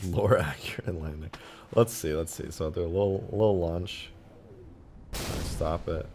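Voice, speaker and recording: A man live-streaming while he mods a game, thinking aloud, reading chat and laughing loudly at times, his voice going a bit hoarse. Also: low voice, a little slow, slight background noise. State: losing his voice, slightly hoarse. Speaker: modding streamer. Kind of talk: casual live-stream commentary.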